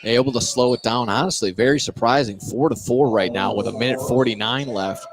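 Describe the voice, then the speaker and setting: A man's voice: medium pitch, fairly quick, a crowd in the background. A man giving casual color commentary and analysis during a live basketball broadcast. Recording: noisy live gym broadcast.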